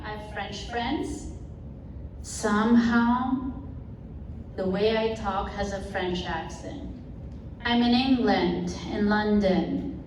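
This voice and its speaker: A woman speaking slowly and earnestly as a panelist at a live event. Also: medium pitch. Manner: slow, deliberate, earnest. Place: event hall. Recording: very echoey hall, far off, background noise.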